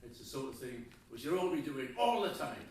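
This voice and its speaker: A man presents from a stage, recorded at a distance in a strongly reverberant hall. His speech is informal, with a lively, widely moving pitch. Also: fairly quick. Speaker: award presenter and event speaker. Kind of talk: informal live stage address. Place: large indoor festival venue, live audience. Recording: echoey hall, distant mic, crowd.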